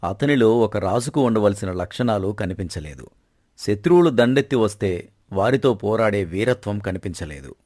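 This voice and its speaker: Man playing a proud, low-voiced king. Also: expressive, normal speed, a little mellow, no echo, studio quality. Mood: firm, dismissive. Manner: formal, stately, declarative. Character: proud, commanding middle-aged king, wry with his queen. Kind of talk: scripted Telugu moral-story dramatization.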